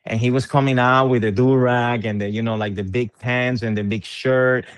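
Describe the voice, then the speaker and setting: A man's voice: medium pitch, fairly even intonation, moderate pace, clear, resonant tone, no room sound. Hesitant middle-aged man from Puerto Rico. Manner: hesitant, many fillers and repeated words. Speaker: interviewed musician. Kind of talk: casual interview conversation.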